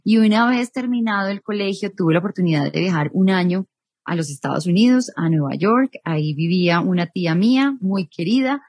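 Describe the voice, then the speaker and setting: A woman's voice: lowish voice, expressive, a little fast, a little mellow, no room sound. Woman, thirties, Colombian Spanish accent, journalist guest, reflective, dry close sound. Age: 30s. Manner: thoughtful, reflective, rephrasing as she goes. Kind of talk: interview conversation.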